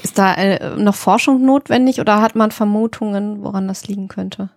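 A woman, co-hosting a podcast discussion with careful, halting phrasing. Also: medium pitch, steady tone, creaky, dry recording, studio quality. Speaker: podcast co-host.

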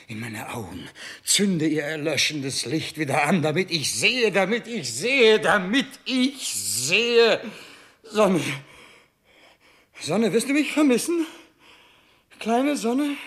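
An actor playing a grandiose, self-obsessed dying king terrified of death, theatrical in a 1960s German radio drama, with a husky, gravelly voice. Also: sits high, a bit bright, heavily acted. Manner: theatrical, slow declaiming, swinging between bombast and faint pleading.

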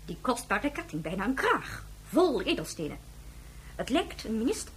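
A woman's voice: fairly high pitch, sing-song, moderate pace, slight background noise. A woman playing an imperious, sceptical head of state in a radio drama, speaking in a formal, dry way. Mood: curious, pondering.

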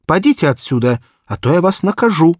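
A man's voice: fairly high pitch, very animated, a little fast, dry recording, clean studio sound, voice pushed up. A man voicing a stern, embarrassed mother scolding in a children's storybook reading.

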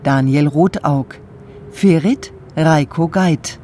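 A radio announcer reads out closing credits with a very measured pace and a soft, dark tone. The delivery is scripted, name after name, over faint background ambience. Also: medium pitch, slight background noise.

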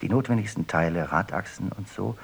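An actor playing a playful, pedantic man reminiscing about childhood in a radio play, husky and excitable. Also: low-pitched, brisk, heavily acted. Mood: recollecting. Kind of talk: experimental radio play dialogue.

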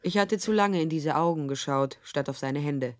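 A woman's voice: low voice, normal speed, natural voice. An actress playing a tough, sardonic female private detective in a radio drama, dialogue and first-person narration, dry and wry. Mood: tense, dry narration. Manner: dry, wry, hard-boiled.

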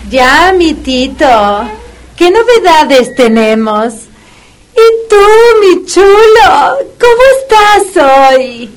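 A woman's voice: extremely high pitch, sing-song, extremely slow, a little hiss or hum, exaggerated voice, rough recording. A woman acting in a comedic radio play as a bossy, exuberant dog whisperer with a formal, emphatic, cajoling manner. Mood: flirty, cheerful.

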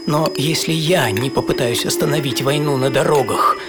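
An actor playing a young idealistic lawyer in a theatrical, intense radio monologue with deliberate pauses. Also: male voice, medium pitch, close to an everyday voice. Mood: sombre, resolute. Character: young idealistic American lawyer, a fiery crusader recounting his fight. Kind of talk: dramatic first-person radio monologue in Russian. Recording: studio voice over prominent music. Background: music.

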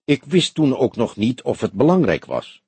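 A male narrator acts out an old police detective, courteous and gently mocking. The husky voice moves in lively swings with solemn, measured phrasing, in a dry audiobook recording. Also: mid-range voice, dry recording, exaggerated voice. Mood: solemn, probing. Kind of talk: audiobook character dialogue.

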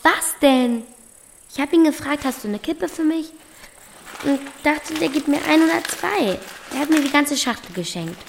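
A woman's voice: high-pitched, animated, normal speed, creaky, background noise. A woman playing a brash, defiant thirteen-year-old girl in a radio play, with a pushy, snappy, slangy way of talking. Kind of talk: German radio play acting.

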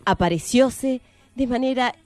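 An Argentine woman tells a satirical tale in a wry storytelling manner. Her voice sits slightly high, and faint music plays underneath. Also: unhurried, darkish tone, dry recording. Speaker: narrator. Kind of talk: scripted comic narration.

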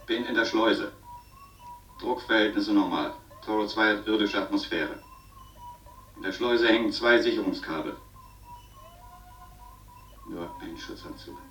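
An actor playing an uneasy, stubborn astronaut who reports tersely and haltingly over an intercom in a German science-fiction radio play. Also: male voice, lowish voice, a bit bright, faint music behind. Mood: tense, focused. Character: uneasy, stubborn astronaut exploring a wrecked asteroid station. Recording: dull, lo-fi radio sound.

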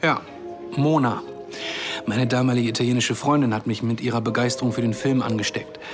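An actor playing a dryly ironic man in his early forties, telling his story in a measured way in a German radio drama. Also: medium pitch, steady tone, over music, close to an everyday voice. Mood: matter-of-fact. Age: 40s.

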